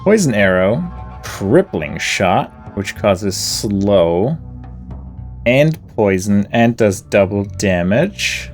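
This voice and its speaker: Laid-back male gaming host, slow and low, faint music under. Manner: relaxed, slow-talking, reads game text aloud. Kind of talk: casual gameplay commentary.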